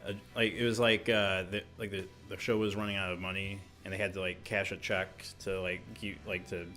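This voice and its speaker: Low-ish guy w bright sharp voice, lots of um and like, faint music. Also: flat delivery, brisk. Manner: lots of fillers and mm-hmms, hesitant. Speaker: podcast co-host. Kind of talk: casual chat.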